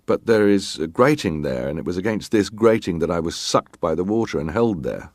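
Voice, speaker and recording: A low, deep male voice in a dry, close recording. a stoic ship's officer testifying in a dramatized hearing. He speaks formally and plainly, in clipped, factual phrases. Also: expressive, brisk, darkish tone, dry recording. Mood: grave recounting. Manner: clipped, matter-of-fact, formal. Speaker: actor reading testimony.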